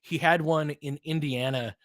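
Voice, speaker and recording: A man giving informal live basketball play-by-play as a partisan fan, speaking in terse, clipped phrases and getting excitable on big plays. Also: fairly high pitch, a little slow, dry recording, clean studio sound.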